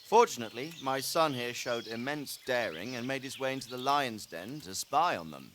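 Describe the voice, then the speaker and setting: An actor playing a steady medieval noble commander in a video game, composed, formal and commanding. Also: male voice, mid-range voice, moderate pace, slight background noise, lightly acted. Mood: calm, proud. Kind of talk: dramatic video game cutscene acting.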